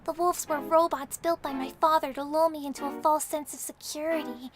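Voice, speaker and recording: A young female cartoon pony, acted by a woman, speaks in a high voice. She tells her woes in an earnest, melodramatic parody style. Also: steady tone, a little fast, music in the background, close to an everyday voice. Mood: tragic, earnest. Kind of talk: cartoon parody dub acting.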